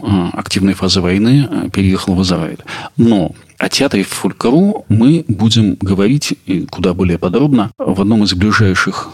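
A man presenting a culture podcast in a narrating style, with a gravelly, husky voice. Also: deep voice. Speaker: podcast host.

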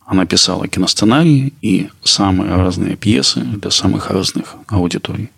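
Male podcast host, husky with heavy fry, scripted narration. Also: low-pitched, normal speed, fairly bright tone.

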